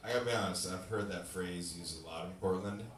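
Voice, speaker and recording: A man's voice: low-pitched, brisk. A man hosting a live stage show as emcee, with playful, theatrical, crowd-rousing banter. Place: small indoor lounge theater stage. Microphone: handheld stage mic, filmed from audience. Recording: roomy, distant microphone, loud live crowd.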